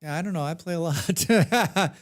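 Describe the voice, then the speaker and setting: A man's voice: fairly high pitch, moderate pace. A man with a head cold chatting casually while live-streaming a game, humming to himself and answering his chat.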